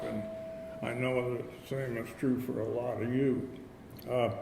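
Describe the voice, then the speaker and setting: An elderly man from upstate New York tells his recovery story to a meeting in a folksy, halting way, full of fillers and restarts. His voice carries a long hall reverb, with faint noise in the background. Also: mid-range voice, creaky. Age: 80s. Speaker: AA speaker.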